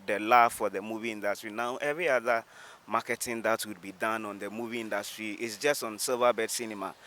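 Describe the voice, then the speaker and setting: A man's voice: fairly low pitch, fairly bright tone. A man with a Ghanaian accent giving an earnest, reasoned opinion as an interviewee, often doubling words for emphasis. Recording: noisy outdoor urban recording.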